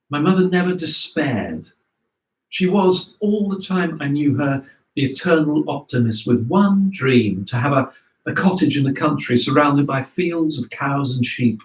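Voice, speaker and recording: A man reading a scripted memoir aloud in a measured storytelling way. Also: a little high. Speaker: reader of memoirs. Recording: roomy echo, distant microphone.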